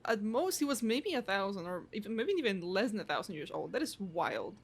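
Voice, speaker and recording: A woman's voice: mid-range voice, a little fast, slight background noise. A woman game streamer giving casual, slangy reaction commentary while playing. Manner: slangy, animated. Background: game music.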